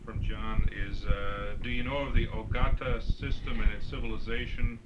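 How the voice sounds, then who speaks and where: A man politely and haltingly reading out written questions at a live session. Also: fairly low pitch, fairly even intonation. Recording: muffled, very noisy 1980s cassette.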